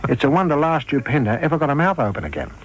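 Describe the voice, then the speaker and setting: An older man telling an anecdote in an interview, in an animated, colloquial way. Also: fairly high pitch, rapid-fire. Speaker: interviewee, former radio performer. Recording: noisy archival interview recording.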